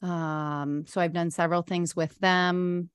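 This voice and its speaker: Middle-aged woman guest, earnest and warm-hearted talker, low pitch, dry booth sound. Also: level tone, clear, resonant tone, dry recording. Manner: earnest, frequent ums, quick bursts. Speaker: podcast guest, meditation instructor. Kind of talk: casual interview chat.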